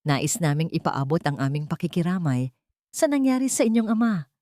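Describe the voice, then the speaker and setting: A man's voice: fairly high pitch, very animated, brisk, darkish tone, no room sound, clean studio sound. A woman voicing a cold, formal company man in a suit, businesslike and unfeeling, in an audiobook story.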